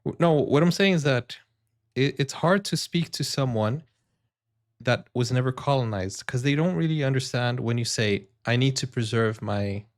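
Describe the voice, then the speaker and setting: A man's voice: medium pitch, moderate pace. A Brazilian man whose first language is Portuguese, speaking English with an American accent, hosting a reaction video in an earnest, rambling way with many fillers. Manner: earnest, addresses viewers directly. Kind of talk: casual reaction commentary.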